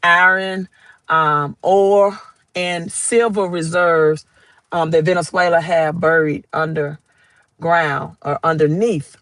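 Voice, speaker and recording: Woman, Southern accent, livestream host, phone mic, slow and chatty, bright tone. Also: lowish voice, fairly even intonation, no echo. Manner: chatty, reads out viewer comments, greets viewers by name. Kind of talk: casual livestream chat. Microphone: phone held vertically.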